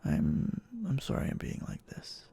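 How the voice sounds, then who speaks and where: A man playing a clingy, obsessive, lovestruck boyfriend in an intimate ASMR roleplay, speaking in a halting, tender way with a breathy voice that often drops near a whisper. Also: dark tone, lightly acted. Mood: anxious, apologetic, pleading. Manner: halting, pleading, tender endearments.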